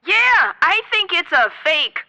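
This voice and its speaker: A stubborn doubter calling a comedy radio show. Her voice is high and piercingly sharp, swinging up and down as she bluntly dismisses everything. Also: big pitch swings, slow, full character voice. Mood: stubborn disbelief. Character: stubborn skeptical woman phoning a radio call-in. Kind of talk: comedic radio drama acting.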